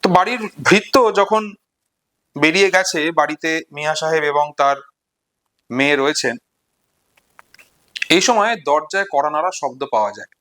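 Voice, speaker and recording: A man retelling and explaining a short story in an unscripted lesson, deliberately, pausing between short phrases. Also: on the high side, expressive, bright, forceful tone. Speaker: literature explainer.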